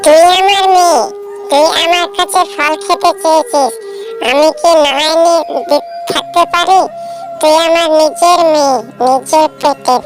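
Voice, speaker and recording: A woman voicing a caring, anxious mother tailorbird in a children's cartoon fable, with drawn-out, pleading emphasis. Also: very high voice, music in the background. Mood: doting, cautioning.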